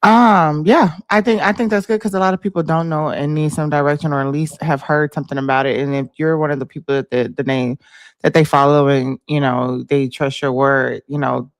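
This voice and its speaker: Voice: mid-range voice, fairly even intonation, brisk, dry recording, clean studio sound. An American livestream host chatting casually about politics in an informal, conversational way.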